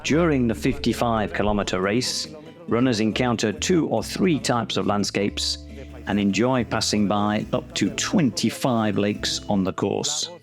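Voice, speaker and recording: Measured male documentary narrator over music. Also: lowish voice. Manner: measured, informative. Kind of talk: scripted narration.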